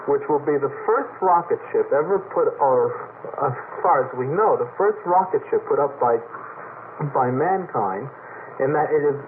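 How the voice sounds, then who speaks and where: Wordy male panelist, thin noisy radio sound. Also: mid-range voice. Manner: wordy, halting, with many repeated words. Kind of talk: unscripted panel discussion with anecdotes. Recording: noisy narrow-band vintage radio recording. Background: clear noise.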